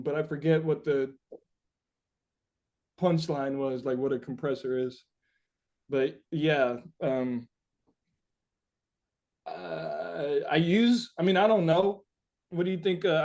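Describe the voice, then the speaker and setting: A man's voice: a little high, measured pace, long pauses, a little mellow. A man being interviewed as a musician, chatting casually and reflectively, searching for his words with informal, sweary phrasing.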